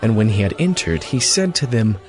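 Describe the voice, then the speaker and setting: A man's voice: lowish voice, big pitch swings, fairly quick, darkish tone, soft background music. A man reading scripted narration for a dramatized audio Bible.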